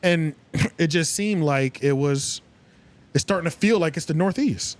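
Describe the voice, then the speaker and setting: A man's voice: fairly high pitch, measured pace. A man hosting a casual podcast discussion, agreeable and tentative as he searches for his words. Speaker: podcast host.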